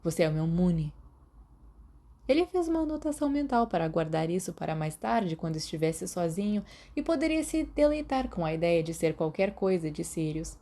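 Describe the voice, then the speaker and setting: A woman with a Brazilian accent, calmly reading a fan fiction audiobook aloud. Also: on the low side, a little fast, few pauses. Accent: Brazilian Portuguese. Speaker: podfic reader.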